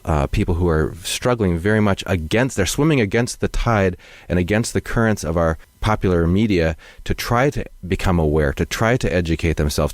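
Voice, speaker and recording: A male host welcoming a guest and asking long, measured questions, often pausing with fillers. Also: sits low, no echo. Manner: measured, wordy, many fillers. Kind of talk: interview hosting.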